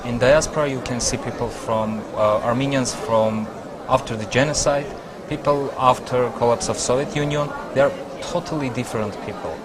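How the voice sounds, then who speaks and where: A male interviewer asking haltingly phrased questions. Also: mid-range voice, fairly even intonation. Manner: brief affirmations, questions asked haltingly. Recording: crowd and noise outdoors.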